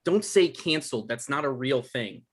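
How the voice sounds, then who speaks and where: A man's voice: mid-range voice, lively intonation, normal speed, close to an everyday voice. A man acting as a naive novelist on the defensive. His voice sits mid-range and moves up and down a lot as he reads a comedy script. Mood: earnest. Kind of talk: live table read of a comedy script.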